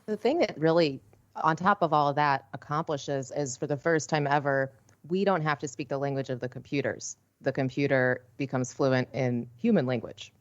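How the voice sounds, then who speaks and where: Very low-voiced woman, lo-fi audio. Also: moderate pace. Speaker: panel guest. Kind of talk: casual podcast discussion.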